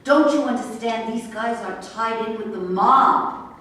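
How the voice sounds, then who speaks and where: A woman's voice: on the high side, measured pace, a bit bright. Woman acting defiant racehorse trainer, distant and echoey. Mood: pleading. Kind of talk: staged reading of a play. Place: echoey indoor room at a staged reading. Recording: distant, very echoey room with noise.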